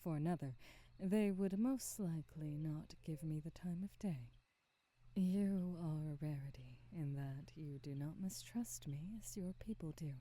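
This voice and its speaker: Woman playing a hushed, slow-spoken kindly old witch. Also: low-pitched. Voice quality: soft, breathy half-whisper. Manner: slow, measured, soothing, formal wording. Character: kindly centuries-old witch shopkeeper, gentle, motherly, wise and calm. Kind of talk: ASMR roleplay.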